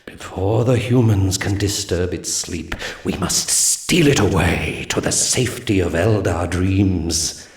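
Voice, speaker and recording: An actor playing a solemn, commanding alien seer who briefs his warriors in grave, measured, mystical pronouncements for a 1990s game cutscene. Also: low voice. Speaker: mission briefer. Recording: roomy reverb on the voice.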